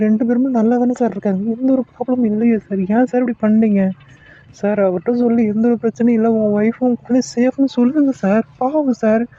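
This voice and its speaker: A man's voice: high-pitched, warm tone, no room sound, lo-fi sound. A man voicing an honest junior doctor who pleads earnestly and deferentially. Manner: earnest, pleading, keeps saying sir.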